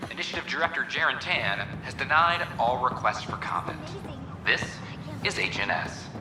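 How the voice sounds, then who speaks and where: Man acting a lively, echoing space-station news announcer. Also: mid-range voice, moderate pace, background noise, natural voice. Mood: grave, formal. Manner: formal, dramatic newsreader delivery. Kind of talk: scripted broadcast news reading.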